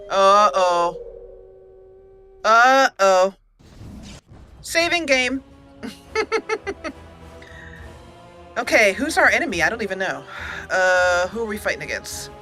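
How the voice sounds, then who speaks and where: A woman's voice: medium pitch, lively intonation, slow, long pauses, pushed and bright, soft background music. A woman hosting a let's play with casual gameplay commentary, chatty and thinking aloud.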